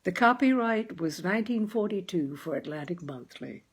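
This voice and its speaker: A woman reading a short story aloud as narrator, in a measured, expressive way. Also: lowish voice, breathy edge. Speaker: reader and host of a story series.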